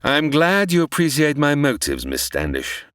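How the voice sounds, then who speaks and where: Wildly theatrical, gloating mad-scientist villain. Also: male voice, fairly high pitch, wildly swooping pitch, moderate pace, fairly bright tone, clean tone, no room sound, studio quality. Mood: smug, condescending. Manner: theatrical, condescending, formal. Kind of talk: old-time radio melodrama parody acting.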